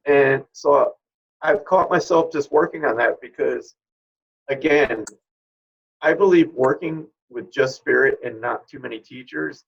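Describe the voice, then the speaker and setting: Middle aged guy chatting in an interview, husky gravelly voice, kinda dull lofi audio. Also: mid-range voice. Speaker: guest, spirit medium. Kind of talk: informal interview talk.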